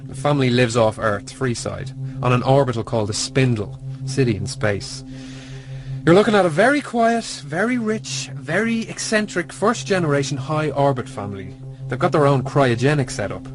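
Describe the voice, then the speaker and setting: An actor playing a secretive, knowing underworld informant in a radio drama. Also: male voice, medium pitch, animated, moderate pace, natural voice. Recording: music behind the voice.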